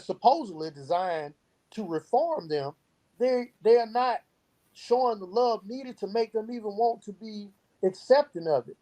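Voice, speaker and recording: A man with a mellow, relaxed tone talks as an interview guest. He makes his points with earnest, emphatic conviction, often explaining through stories and examples. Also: high voice, low-quality recording. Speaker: guest, youth program founder. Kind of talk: earnest interview talk with storytelling.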